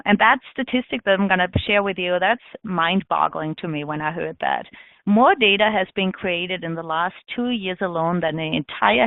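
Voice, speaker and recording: A woman's voice: fairly low pitch, creaky, no echo. Woman presenting over a tinny line. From Austria. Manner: frequent "um" fillers, measured. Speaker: webinar presenter. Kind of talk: webinar presentation. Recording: tinny phone-line audio.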